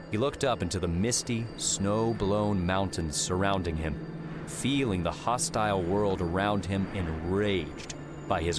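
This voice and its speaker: A man reading scripted adventure story narration as an audiobook narrator. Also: low voice. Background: background music.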